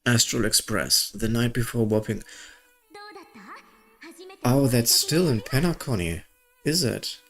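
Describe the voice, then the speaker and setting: A woman's voice: very deep voice, lively intonation, takes it slowly, pauses often, soft background music. A woman giving casual commentary as she plays through a story game. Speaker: gaming video creator. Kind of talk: casual game playthrough commentary, reading story dialogue aloud.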